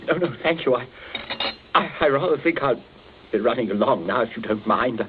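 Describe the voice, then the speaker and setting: A man's voice: medium pitch, lively intonation, noisy background, natural voice. An actor playing a shaken, nervous man who stammers and halts in an old radio drama. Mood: flustered. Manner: stammering, halting. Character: shaken nervous adult male guest, stammering. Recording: tinny old radio recording.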